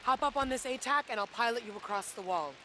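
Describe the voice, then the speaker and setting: A woman's voice: a little high, lively intonation, fast, slight background noise. An actress playing a determined, guarded rebel woman soldier in a video game cutscene, speaking earnestly and with command. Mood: firm, persuasive. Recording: loud music bed.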